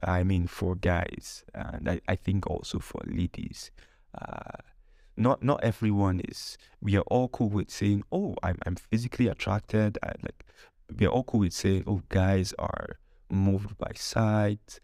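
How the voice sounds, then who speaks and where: A man's voice: low-pitched, a little slow, a little mellow, dry recording, studio quality. A man hosting a podcast, telling stories casually and with humour, acting out dialogue as he goes. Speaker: podcast host. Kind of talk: casual humorous storytelling podcast monologue.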